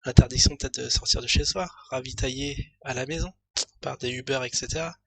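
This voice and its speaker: A man's voice: mid-range voice, steady tone, a little fast, creaky, breathy edge, no echo. A man streaming, reading a news article aloud and rambling through it with lots of asides. He has a slight head cold and his throat is starting to go. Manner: rambling, thinks aloud with many fillers and asides.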